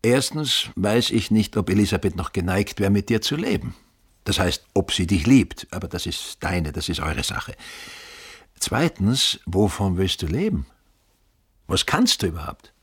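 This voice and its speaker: An actor playing a blunt middle-aged businessman, his voice husky. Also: low voice, sing-song, measured pace, exaggerated voice. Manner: blunt and matter-of-fact. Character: blunt older male businessman, father-in-law. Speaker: actor in radio drama. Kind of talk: radio drama acting.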